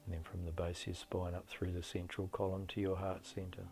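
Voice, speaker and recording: A middle-aged man leading a guided meditation in a slow, deliberate, soothing delivery with long pauses. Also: sits low, completely flat delivery, creaky. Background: soft meditation music.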